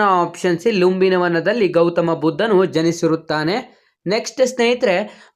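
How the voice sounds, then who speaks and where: An online exam-prep teacher and channel host presenting a quiz lesson to viewers, in an instructional manner with repeated phrases. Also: medium pitch. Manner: repeats questions and answers, instructional.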